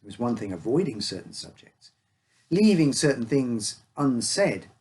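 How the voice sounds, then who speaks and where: A man with a husky voice reading his own first-person uncanny story as a scripted narration. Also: medium pitch, very animated, moderate pace, darkish tone.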